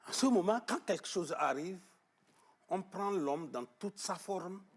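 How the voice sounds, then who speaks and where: A man being interviewed in a television studio, explaining at length with emphasis, often pausing on fillers and repeating words. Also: sits high. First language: Arabic-educated, French not first. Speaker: guest on traditional knowledge.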